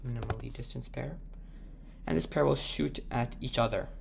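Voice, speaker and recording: A man with a sore, croaky throat, thinking aloud as he works through a math problem and slowing down as he types the proof. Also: medium pitch, slight background noise. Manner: thinks aloud, slows down while typing. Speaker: math tutor. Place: at a computer, screen recording.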